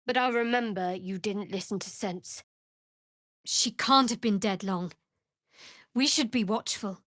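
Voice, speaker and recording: A woman playing a guarded, sardonic young cleric of a dark goddess in a video game, with dry, wry delivery. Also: mid-range voice, a little slow, no room sound, lightly acted. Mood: wary, observant. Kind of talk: video game character voice acting.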